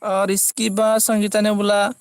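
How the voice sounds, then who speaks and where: High-pitched man, halting livestream chatter. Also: completely flat delivery, smooth, dry recording. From Assam, India. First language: Bodo. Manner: halting, repeats phrases as he reads. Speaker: live streamer. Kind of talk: casual live chat, reading out comments.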